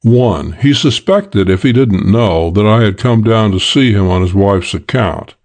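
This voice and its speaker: A male audiobook narrator with a fairly low voice, telling a first-person story. His delivery is dry and wry, steady, with a hard-boiled edge. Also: unhurried.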